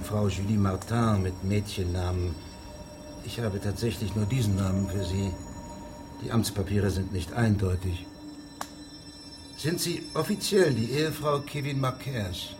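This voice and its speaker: Actor as low-voiced, pedantic, shrewd judge. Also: male voice, over music, natural voice. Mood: measured, formal. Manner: measured, deadpan, formal, pedantic. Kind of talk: radio drama acting.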